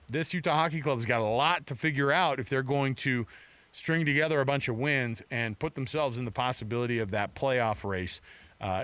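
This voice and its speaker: An American man co-hosting a lively sports talk radio show. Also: medium pitch, dry recording.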